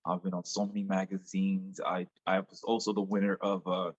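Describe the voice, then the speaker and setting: A man with a Nigerian accent speaks in a lowish voice. He is a music artist guest chatting eagerly in an interview, often repeating his words. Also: completely flat delivery, brisk, husky, highs cut off. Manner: enthusiastic, repeats words as he talks. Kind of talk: casual interview chat.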